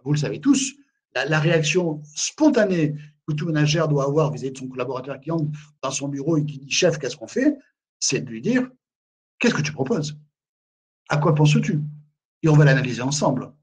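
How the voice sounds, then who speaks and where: A man with a slightly high, husky voice talks on a video call as a webinar presenter. He is emphatic, stumbles and repeats words, and often tags his sentences with filler phrases. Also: lively intonation, brisk. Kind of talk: live webinar talk on management.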